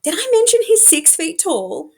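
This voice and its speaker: A woman voicing a self-deprecating, crush-struck teenage theatre girl from a novel, chatty, excitable and teasing. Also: very high-pitched, normal speed, creaky, voice pushed up, full character voice. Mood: gushing.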